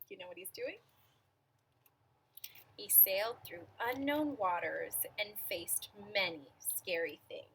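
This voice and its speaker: A woman reading a children's picture book aloud in an expressive, dramatic manner, with asides to young listeners. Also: mid-range voice, unhurried, creaky, long pauses, slight background noise, rough recording. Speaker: storybook reader.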